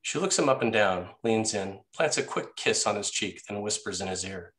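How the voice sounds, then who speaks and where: A man's voice: lowish voice, expressive, moderate pace, fairly bright tone, rough recording. A man narrating the scene directions of a screenplay at a table read. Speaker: narrator reading stage directions.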